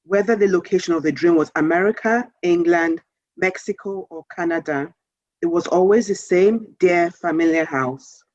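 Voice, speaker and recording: A woman author reading a children's story aloud in a measured, expressive storytelling manner. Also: on the low side, no room sound, lo-fi sound.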